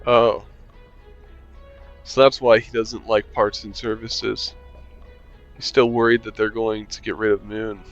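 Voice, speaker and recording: A man's voice: on the low side, flat delivery, measured pace, pauses often, lo-fi sound, faint music behind. A man reading a visual novel aloud with commentary, making dry, sarcastic asides and swearing casually. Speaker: game streamer.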